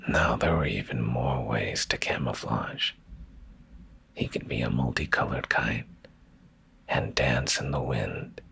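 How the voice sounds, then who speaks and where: A man with a rock-bottom, heavily fried voice reads in a hushed bedtime ASMR style. His delivery is unhurried and drawn out, with soft, soothing phrasing right up against the microphone. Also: slight background noise. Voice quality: very deep, gravelly, breathy near-whisper. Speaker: ASMR streamer and bedtime story reader. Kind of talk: ASMR, slow soft bedtime story reading. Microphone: close ASMR microphone.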